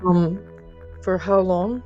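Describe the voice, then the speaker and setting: A woman voicing a hapless, awkward male mail carrier in an audio story, halting and clipped. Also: on the low side, a little mellow, highs cut off, soft background music. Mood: bewildered.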